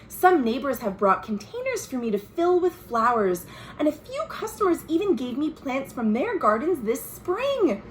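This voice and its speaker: A woman reads as an earnest young American girl writing home in a storybook. The voice is high and very animated, lingering over words with sing-song emphasis. It is slow and full of hope. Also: a little hiss or hum, rough recording. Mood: cheerful. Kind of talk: expressive storybook letter reading.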